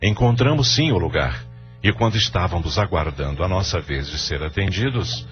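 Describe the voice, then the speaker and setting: A Brazilian actor playing a grieving, burdened father, gentle but evasive, in an audio drama. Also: deep voice, animated, normal speed. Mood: grave. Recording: dull lo-fi audio under music.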